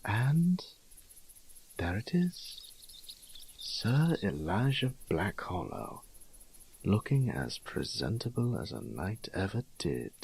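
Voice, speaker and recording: A flamboyant young nobleman from a fantasy audio drama voiced by a man. He takes his time over flowery phrases in an airy, mannered lilt with a breathy edge. His temper is fussy, playful and teasing. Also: lowish voice, very animated, slow pace, long pauses, darkish tone, heavily acted. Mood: delighted, teasing.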